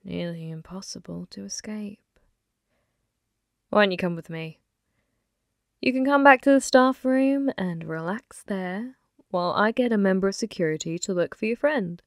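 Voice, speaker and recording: A woman playing a flirty, possessive, taunting female demon in an ASMR roleplay, slow and intimate, often slipping toward a whisper. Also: medium pitch, no echo, studio quality, natural voice. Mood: inviting, helpful.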